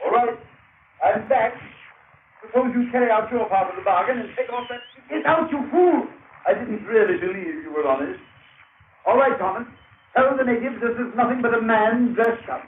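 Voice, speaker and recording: An indignant, commanding intruder in vintage radio drama. His voice is strained up very high as he gives imperious orders. The sound is narrow and tinny. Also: animated, normal speed, long pauses. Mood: angry, demanding. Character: indignant, commanding male intruder confronting a false god. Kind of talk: old-time radio drama acting. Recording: tinny, hissy old radio recording.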